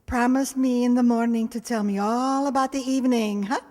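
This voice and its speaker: Folksy, motherly neighbor played by a woman, chatty and fond, fairly high voice, radio-play delivery in a reverberant hall. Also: fairly even intonation, normal speed, a little mellow, close to an everyday voice. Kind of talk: stage-style radio comedy acting. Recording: reverberant hall stage recording.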